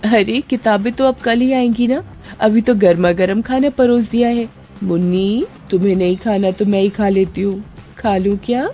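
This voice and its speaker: A woman's voice: mid-range voice, moderate pace, dark tone, natural voice. A woman voicing a concerned, caring village wife in storybook dialogue. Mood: playful. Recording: music under the voice.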